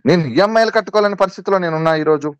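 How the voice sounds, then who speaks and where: A man's voice: high voice, racing, smooth, no room sound, muffled recording. A man being interviewed on a recorded call, protesting insistently and repeating himself as he defends his position.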